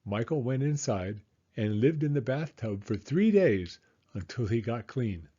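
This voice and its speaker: A man reading a children's picture book aloud in an expressive, animated way, as a storyteller speaking to young listeners. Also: medium pitch, measured pace, breathy edge, lo-fi sound. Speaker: storyteller, former school teacher.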